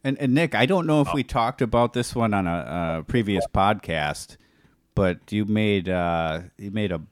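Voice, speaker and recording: A man hosting a podcast, chatting casually in a halting, rambling way with some joking. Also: lowish voice, animated, no room sound, clean studio sound. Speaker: podcast host. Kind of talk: casual chat.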